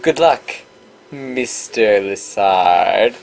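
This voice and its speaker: Woman voicing a smug, low-pitched riddling cat. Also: lively intonation, extremely slow, darkish tone, soft background music, heavily acted, rough recording. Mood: dry, mocking. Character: smug, riddling talking cat, logical and needling.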